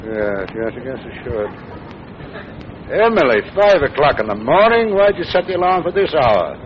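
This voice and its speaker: An actor playing a dry, patient middle-aged judge and father in 1940s radio comedy, with an American accent and a measured, wry manner. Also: on the high side, sing-song. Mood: groggy, grumbling. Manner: measured, wry, mildly exasperated. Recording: muffled, noisy old radio recording.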